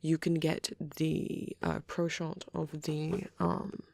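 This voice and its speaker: A performer voicing a shy, bashful, nerdy young male vampire boyfriend in a soft, hesitant, rambling ASMR roleplay. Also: medium pitch, takes it slowly, creaky, dry recording. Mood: shyly enthusiastic rambling. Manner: hesitant, stammering, apologetic, excitable when rambling.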